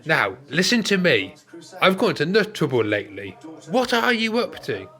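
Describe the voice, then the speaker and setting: Radio play: worried, querulous old fellow male actor, very high for a man, swooping exasperated delivery. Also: sing-song, moderate pace, bright, forceful tone, exaggerated voice. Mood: worried, suspicious. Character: anxious, fretful older male care-home resident, reluctant sidekick. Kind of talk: amateur radio play acting.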